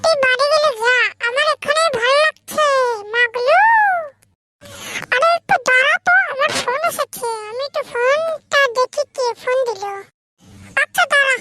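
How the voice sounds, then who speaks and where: A performer voicing an excitable little cartoon boy with a shrill, childlike voice, whining and exclaiming in an amateur dub. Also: moderate pace. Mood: casual.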